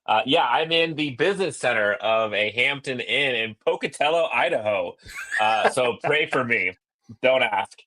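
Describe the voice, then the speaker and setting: Slightly hoarse man, thirties, bright edgy voice, quick joking chat, laptop call from a hotel business center. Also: mid-range voice, expressive. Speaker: podcast co-host. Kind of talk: casual sports podcast chat. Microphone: laptop on video call.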